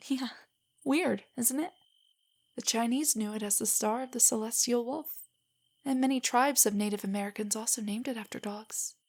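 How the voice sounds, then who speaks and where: A young woman playing a nervous, nerdy, affectionate girlfriend in an intimate roleplay audio, speaking softly and breathily in a giggly, rambling way. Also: a little high, normal speed. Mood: eager, nerdy. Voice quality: breathy, often near a whisper. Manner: rambling, giggly, hesitant, explaining trivia. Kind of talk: roleplay audio, intimate soft monologue to a listener.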